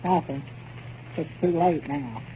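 On a muffled, faintly hissy radio comedy recording, a peevish old country fellow with a rural Southern accent. The voice is high and the manner blunt. He is contrary and folksy. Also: takes it slowly, dark tone. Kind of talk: 1930s radio comedy acting.

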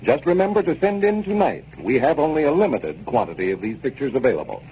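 An American man working as a radio announcer, giving a scripted pitch in a chatty, polished 1930s style. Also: big pitch swings, quick pace, mellow, highs cut off. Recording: noisy, band-limited 1930s radio broadcast.

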